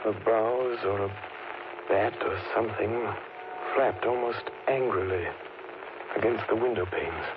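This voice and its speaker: An actor playing a sombre Victorian gentleman narrating his journal, measured and solemn in the theatrical manner of 1930s radio. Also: lowish voice, close to an everyday voice. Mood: grave. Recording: hissy, narrow-band old radio recording.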